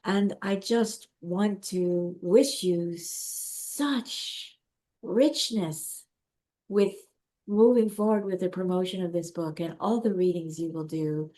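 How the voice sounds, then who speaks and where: A woman hosting a podcast over a video call, soft-spoken and effusive in her praise. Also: on the low side, takes it slowly. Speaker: podcast host. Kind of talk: casual interview chat.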